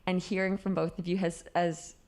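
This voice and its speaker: Earnest low-voiced woman asking a question. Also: level tone, moderate pace, a little mellow. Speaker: audience member asking a question.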